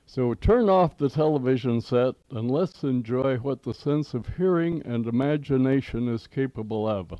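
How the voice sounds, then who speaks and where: A man's voice: medium pitch, expressive, normal speed, few pauses, warm tone, no room sound, dull audio. An American man in his eighties hosting old-time radio, with a folksy, theatrical announcer delivery and a nostalgic scripted style. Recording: home cassette tape with music.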